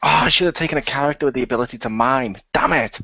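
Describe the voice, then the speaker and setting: A man with a husky, rough-edged voice chats and jokes casually as a co-host. The recording is dry and a little dull. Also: medium pitch, very fast, pushed and bright, no room sound. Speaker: co-creator and co-host. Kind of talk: casual joking chat.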